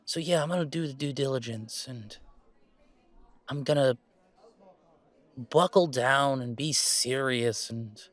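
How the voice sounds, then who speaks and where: A man playing an earnest, playful young werewolf gamer in an intimate, conversational roleplay audio, speaking in a halting, emphatic way. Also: medium pitch, no room sound. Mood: serious, uneasy. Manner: halting, emphatic, expressive, with sighs and chuckles.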